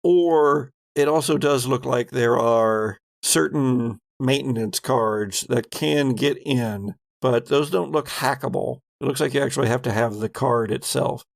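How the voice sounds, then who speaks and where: A man's voice: mid-range voice, unhurried, creaky, hardly any pauses, no room sound. A man acting as game master, narrating an improvised tabletop role-playing game in conversational speech.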